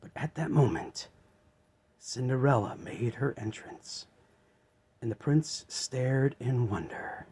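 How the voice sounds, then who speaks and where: A man reading a children's story aloud as an expressive narrator. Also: mid-range voice, slow pace, slight background noise.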